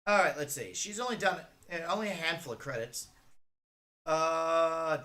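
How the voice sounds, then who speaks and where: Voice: medium pitch, animated, moderate pace, sharp tone. A streamer giving casual reaction commentary, rambling and thinking aloud with a fan's enthusiasm.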